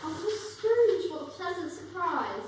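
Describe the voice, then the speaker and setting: High-voiced polite woman as Victorian lady, echoing. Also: big pitch swings, a little slow, exaggerated voice. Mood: welcoming. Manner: polite, formal. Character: polite, welcoming young Victorian household woman. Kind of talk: dramatic stage reading. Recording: echoing hall, distant mic, faint noise.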